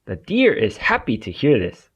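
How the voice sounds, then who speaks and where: A man narrating a children's storybook aloud in an expressive way for young listeners. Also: mid-range voice, moderate pace, slightly rough, dull audio.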